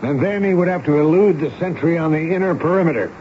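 A man's voice: a little high, quick pace, lightly acted. Man playing a commanding, probing army major. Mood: stern, irritated. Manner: commanding, interrogating. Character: stern, probing but fatherly army major. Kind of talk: 1970s radio drama acting. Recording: dull, noisy old radio broadcast recording.

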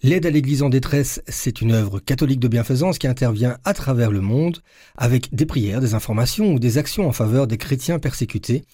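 Belgian french radio host guy, calm and polite, warm mellow voice, dry studio sound. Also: medium pitch, brisk, husky, no echo. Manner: calm, courteous interviewer. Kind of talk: radio interview.

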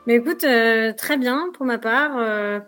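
A woman's voice: fairly high pitch, measured pace, fairly bright tone, faint music behind. A woman in her twenties from the Paris region, talking casually as a guest athlete, with frequent fillers and restarts. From Paris region, France. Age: 20s. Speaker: trail running athlete, guest. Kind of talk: casual podcast interview.

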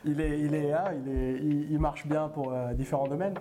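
A man's voice: on the high side, flat delivery, fairly quick, background noise. Casual man rambling with restarts. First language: Italian. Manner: rambling, many restarts and fillers. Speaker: podcast co-host. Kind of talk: casual chat.

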